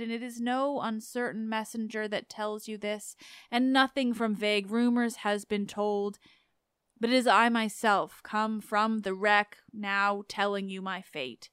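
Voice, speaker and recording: A woman voicing the ghost of a drowned husband, speaking in a hushed, slow, sorrowful way. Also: a little high, clear, resonant tone, no room sound, studio quality.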